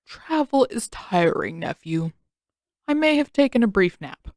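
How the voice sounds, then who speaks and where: A woman acts as a genial old general from a fantasy audiobook. He takes his time over each phrase, relaxed and easygoing, in a dry recording. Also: medium pitch, no echo. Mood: relaxed, sleepy. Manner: unhurried, genial delivery.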